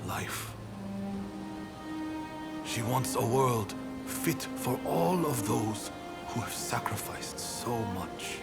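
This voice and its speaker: A man's voice: mid-range voice, lively intonation, slow, gravelly, pauses often, loud music behind the voice. A man acting a stern rebel army commander in a video game cutscene, speaking in a solemn, weighty manner. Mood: moved, solemn.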